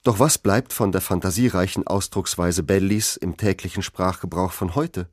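A man's voice: low-pitched, normal speed, no room sound, clean studio sound. A man narrating a scripted literary essay for radio.

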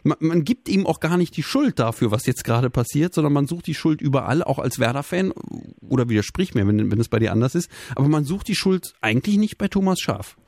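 A man's voice: on the high side, lively intonation, fairly quick, few pauses, a little mellow, no room sound. A male radio host from Bremen chatting with callers, often stumbling and restarting his sentences. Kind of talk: radio call-in chat.

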